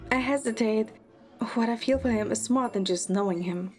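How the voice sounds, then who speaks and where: A woman performs as a young heroine telling her own story in an audio drama. Her voice sits fairly high, fond and quick-witted, with music clearly behind it. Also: darkish tone, natural voice. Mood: narrating. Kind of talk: first-person scripted narration.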